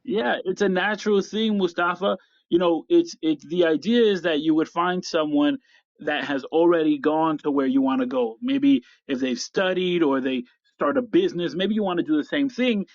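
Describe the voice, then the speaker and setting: A middle-aged American man hosting a motivational podcast, speaking in deliberate, emphatic phrases with repetition. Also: fairly high pitch, dry recording. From upstate New York, United States. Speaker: podcast host. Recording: slightly dull, dry lo-fi audio.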